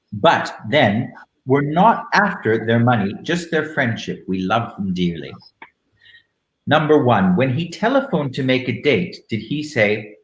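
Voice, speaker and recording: A man hosting a live online show in a camp, theatrical manner, moving between casual chat and dramatic reading with deliberate phrasing. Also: mid-range voice. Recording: roomy, echoing live-stream sound.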